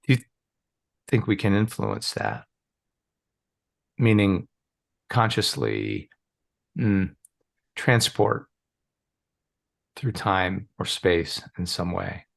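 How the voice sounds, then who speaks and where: A man's voice: deep voice, expressive, very slow, gravelly, long pauses. A man in a playful, musing conversation as a guest on a video call, thinking aloud and joking lightly. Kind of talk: casual conversation.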